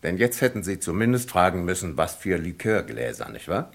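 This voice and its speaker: A methodical police commissioner from a sixties radio crime play. His low voice moves expressively as he probes with dry irony, deliberately cornering his suspect. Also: sing-song, gravelly, exaggerated voice. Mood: triumphant, pressing. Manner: probing, deliberate, ironic, drawn-out questioning. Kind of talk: 1960s German radio crime drama acting.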